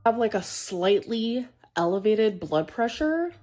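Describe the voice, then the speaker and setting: A woman with a medium-pitched voice talks as a video blogger. Her delivery is casual, everyday personal chat. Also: expressive, takes it slowly, mellow, slight background noise. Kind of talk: casual personal vlog talk.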